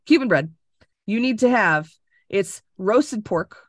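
A woman's voice: mid-range voice, takes it slowly, dry recording. Woman from Florida, podcast co-host, emphatic and profane, dry close sound on a video call. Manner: animated, emphatic, swears freely. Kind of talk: casual chat over video call.

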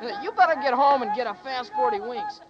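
A breezy, wisecracking bystander. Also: female voice, high-pitched, huge pitch swings, brisk, highs cut off, a crowd in the background. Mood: wry. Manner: breezy, slangy. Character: wisecracking street bystander, brash. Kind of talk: 1940s radio acting.

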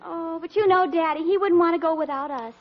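An actress playing an earnest, concerned young daughter in a 1940s radio comedy. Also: female voice, very high-pitched, a little fast. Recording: muffled old radio recording.

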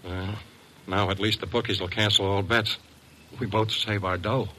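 An actor playing a blunt, excitable, streetwise client with slangy talk in an old-time radio detective drama. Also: male voice, lowish voice, normal speed, a little hiss or hum, poor audio. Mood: uneasy. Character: edgy, blunt male client, streetwise gambler.

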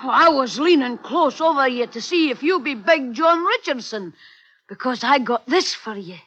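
A high-pitched, wheedling little sneak thief. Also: male voice, animated, moderate pace, sharp tone, heavily acted. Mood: fawning, wheedling. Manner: cajoling, pleading, repeatedly saying "mate". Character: small, wheedling, sly male pickpocket and drunk. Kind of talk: 1950s radio drama acting.

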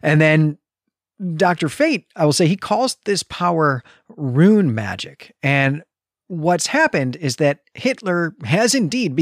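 A man's voice: on the high side, dry recording, clean studio sound. Man recapping a comic story on a podcast. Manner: explanatory, recapping a story with fillers. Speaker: podcast co-host. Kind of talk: casual comics discussion.

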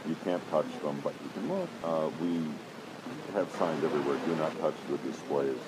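A man with a very low, deep voice talks through stories about his exhibit, slipping into quoted dialogue as he goes. Loud background noise from a busy convention floor runs under everything. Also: expressive, moderate pace. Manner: deep voice, storytelling with acted-out quotes. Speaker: exhibit founder, interview guest. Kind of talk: relaxed anecdotal interview.

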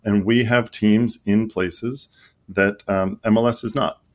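Low-voiced man explaining earnestly. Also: steady tone, measured pace. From United States. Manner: earnest, explanatory, with frequent fillers and restarts. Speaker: league marketing executive, guest. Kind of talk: podcast interview answers.

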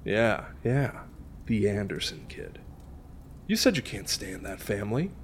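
Actor as an animated young prankster playing killer. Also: male voice, on the low side, unhurried, a little hiss or hum. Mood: coaxing menace. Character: prankster friend playing a smooth, coaxing killer. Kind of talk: audio drama acting.

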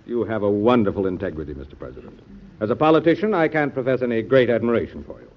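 An actor playing a frank, admiring colleague of a president in an old-time radio drama, candid and respectful. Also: male voice, fairly low pitch, lively intonation, fast, lightly acted. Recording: muffled vintage radio recording.

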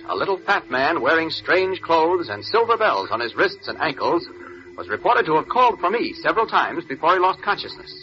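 Earnest male reporter hero, forceful bright voice, very animated, brisk, 1940s radio drama, dull old recording. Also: on the high side. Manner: brisk, earnest, clearly projected. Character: earnest male newspaper reporter, secretly a superhero.

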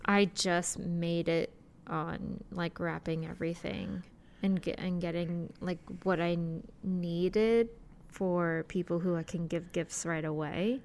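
A woman co-hosting a podcast, chatting casually in a relaxed, hesitant way that often trails off. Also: lowish voice, slow. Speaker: podcast co-host. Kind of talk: casual chat.

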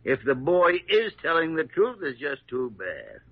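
An actor playing a stern, bossy, skeptical senior doctor with a dry manner in a 1940s radio drama. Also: male voice, on the high side, huge pitch swings, unhurried, gravelly, no room sound, exaggerated voice. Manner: crusty, authoritative, dry. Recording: dull vintage radio recording.

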